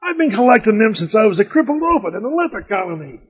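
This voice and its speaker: A man playing a whiny, self-pitying collector in a comedy audio drama, grumbling in a drawn-out, plaintive way. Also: high-pitched, very animated, breathy edge, dull audio, full character voice. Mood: dismayed, self-pitying.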